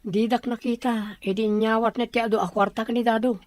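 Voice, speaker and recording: A woman's voice: on the high side, steady tone, brisk, darkish tone, dry recording, natural voice. A woman with an Ilocano accent acting a suspicious, plotting character in a radio drama.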